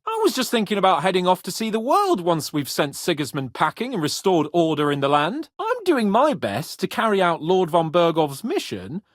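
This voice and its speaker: A man's voice: high voice, no echo, studio quality. An actor playing a haughty, spirited young nobleman in a medieval video game, lordly and bossy in manner but loyal. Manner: lordly, bossy, expressive, swearing freely.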